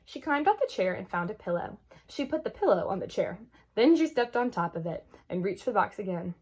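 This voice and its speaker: A woman reading a children's chapter book aloud as an expressive storyteller. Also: mid-range voice, fairly quick, husky.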